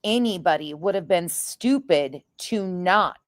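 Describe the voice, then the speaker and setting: A woman's voice: fairly low pitch, expressive, unhurried, no echo, studio quality. A woman streamer giving blunt, profane, scornful commentary in casual reaction chat.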